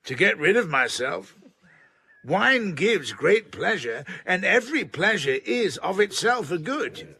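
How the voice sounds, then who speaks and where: A domineering older man of letters in a period radio play. His voice is forceful and fairly high, swinging widely as he delivers emphatic, witty verdicts. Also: big pitch swings, unhurried, creaky, husky, no room sound, full character voice. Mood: opinionated. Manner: emphatic, argumentative, pronouncing. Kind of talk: period radio drama acting.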